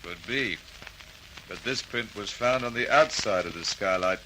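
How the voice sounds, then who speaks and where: An actor playing a dry, understated police investigator in an old radio drama. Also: male voice, fairly low pitch, unhurried, sharp tone, poor audio. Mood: matter-of-fact. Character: dry, matter-of-fact male police investigator. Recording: hissy old radio recording.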